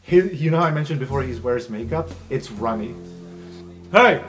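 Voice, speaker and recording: A man's voice: mid-range voice, lively intonation, fairly quick. A man acting as game master, narrating an improvised tabletop roleplaying game in a conversational way. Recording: music behind the voice.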